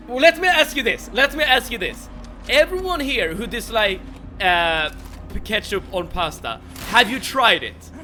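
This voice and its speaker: High, bright-voiced streamer man. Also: sing-song, unhurried, faint music behind. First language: Swedish. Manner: chatty, stammers and repeats words, laughs often. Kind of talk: casual chat while playing a game.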